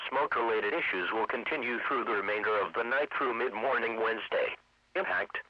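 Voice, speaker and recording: A synthetic man's voice reading a scripted forecast, measured and impersonal, as an automated weather announcer. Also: medium pitch, no room sound. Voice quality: synthetic text-to-speech voice. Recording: dull, dry radio broadcast.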